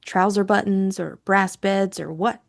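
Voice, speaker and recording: A woman voicing a bold, inquisitive young aristocratic lady with a brisk, direct way of asking. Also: mid-range voice, steady tone, gravelly. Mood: determined, breezy.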